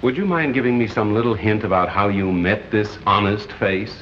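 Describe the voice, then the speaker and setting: An actor playing a wry, measured male radio crime newscaster in an old-time drama, with dry wit. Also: fairly low pitch, close to an everyday voice. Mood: exasperated, sarcastic. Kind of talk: old-time crime drama acting with broadcast announcer delivery. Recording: dull, noisy old film-era soundtrack.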